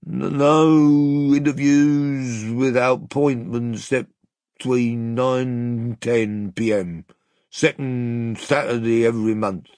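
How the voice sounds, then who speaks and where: A man's voice: mid-range voice, steady tone, takes it slowly, darkish tone, no room sound, close to an everyday voice. A man voicing a slovenly, grumbling castle doorkeeper in a storybook, mumbling and slurring his words. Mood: grumpy, befuddled.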